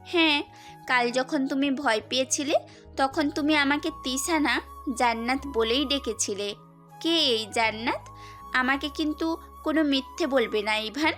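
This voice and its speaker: Actress as young woman, high voice, direct and questioning, light music behind. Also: measured pace, fairly bright tone. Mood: probing. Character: insistent, probing young woman questioning a student. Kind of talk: Bengali audio story acting.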